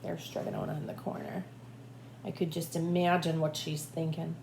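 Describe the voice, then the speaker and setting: A woman teacher reading a picture book aloud in an animated way, with asides to young listeners. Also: low-pitched, moderate pace, creaky. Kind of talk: expressive children's read-aloud with asides to listeners. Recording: echoey room with faint background noise.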